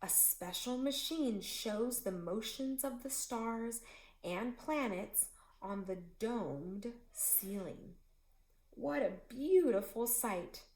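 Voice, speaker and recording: A woman reads a children's book aloud to her class. Her delivery is slow and deliberate, and her pitch swings widely as she tells the story. The recording picks up a noticeably echoey room. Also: a little mellow. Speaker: teacher reading aloud.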